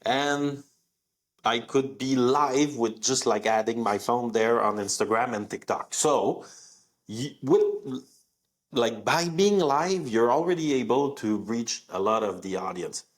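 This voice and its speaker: A man's voice: mid-range voice, expressive, takes it slowly. A young man who speaks French as his first language, with a French accent, talking casually on his live podcast with many fillers. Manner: conversational, many fillers, rising emphasis on key words. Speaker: podcast host.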